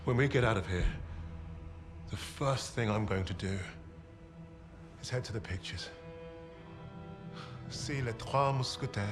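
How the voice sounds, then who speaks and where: A man acting as a captive Allied soldier in a game cutscene. He has a fairly low voice and talks in a musing, wistful, conversational way, with clear music behind. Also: a little slow, pauses often, lightly acted. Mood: wistful daydreaming. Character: wistful Allied soldier held prisoner, chatting with comrades. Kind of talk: cinematic video game acting.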